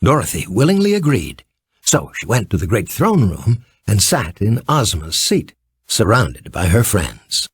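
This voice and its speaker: A man reading a scripted children's story as an expressive, theatrical narrator. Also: lowish voice, measured pace, husky, no echo, studio quality.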